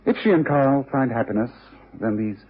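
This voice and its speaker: An actor playing a confident, analytical Victorian detective in a radio drama, speaking with precise authority. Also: male voice, mid-range voice, normal speed, breathy edge, natural voice. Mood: explaining, assured. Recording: muffled phone-like old radio recording.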